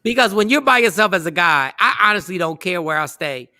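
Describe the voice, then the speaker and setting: A man's voice: sits high, expressive, a little fast, pushed and bright, no room sound, clean studio sound. A man hosting an internet radio show, chatting casually and rambling, now and then breaking into sound effects.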